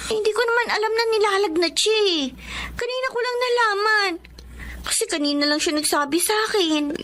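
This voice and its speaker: A young girl, loyal and respectful but quick to speak up. The voice is extremely high and childlike, with a plaintive edge suited to radio drama. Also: animated, a bit bright. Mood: worried, defensive. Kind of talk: Filipino radio drama acting.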